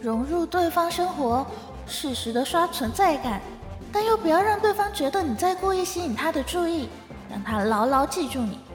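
Casting: excitable young female friend, extremely high voice, earnest and emphatic, echoing reverb with music. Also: moderate pace. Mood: instructive. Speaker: voice actor in radio drama. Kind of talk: modern urban comedy radio drama acting. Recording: roomy reverb, music behind.

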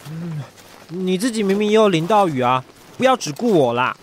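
A man's voice: high voice, expressive, slight background noise. A man with a Taiwanese Mandarin accent voicing an impulsive, cheerful young rich heir who laughs and gets flustered easily. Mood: eager. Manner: playful, eager, quick to laugh and fluster. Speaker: voice actor. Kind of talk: amateur radio drama acting.

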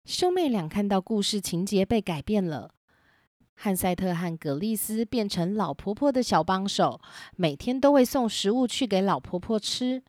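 A woman narrating a children's story as host in a gentle, clear storytelling manner, recorded with simple home equipment. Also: mid-range voice, expressive, moderate pace, dry recording, studio quality. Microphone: simple home recording setup.

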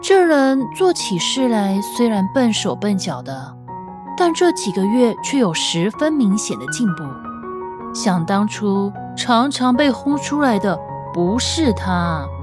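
Slow, higher-pitched woman narrating fiction. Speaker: audiobook narrator. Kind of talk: expressive scripted fiction narration. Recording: music under the voice. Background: background music.

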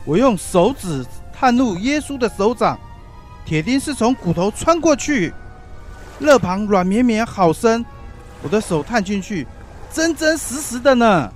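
A man's voice: sits high, sing-song, measured pace, faint music behind. An actor playing an awed, fervent male fisherman disciple in a biblical radio drama, speaking in earnest exclamations. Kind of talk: Chinese-language biblical radio drama acting.

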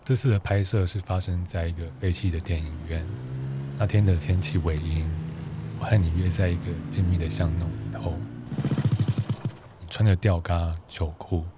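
A man's voice: deep voice, steady tone, moderate pace. A man reading scripted first-person narration for an audio drama. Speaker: photographer narrating his own book. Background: music.

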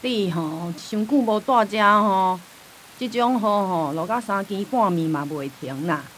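An actress playing an elderly, forgetful, stubborn grandmother in a radio drama, with a Taiwanese accent and rambling, drawn-out speech. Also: medium pitch, slight background noise, natural voice, poor audio. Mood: weary, homely. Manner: rambling, drawn-out sentence-end particles.

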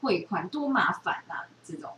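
A woman with a Taiwanese Mandarin accent hosts a casual chat, telling stories in an animated, rambling, self-interrupting way. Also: mid-range voice, moderate pace. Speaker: podcast host. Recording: roomy, distant, hissy home recording.

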